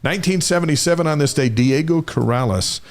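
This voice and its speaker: A man's voice: on the high side, fast. Middle-aged man, chatty sports show co-host. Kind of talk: casual banter reading on-this-day history items.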